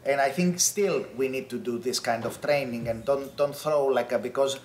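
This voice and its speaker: A man panelist talking quickly and animatedly, repeating words, at a table microphone in a large lecture hall. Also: mid-range voice. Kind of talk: panel discussion. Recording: echoey lecture hall, faint noise.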